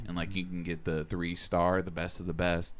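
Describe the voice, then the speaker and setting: A man's voice: deep voice, level tone, moderate pace. A man chatting casually with friends as a podcast co-host, rambling enthusiastically with plenty of slang and swearing.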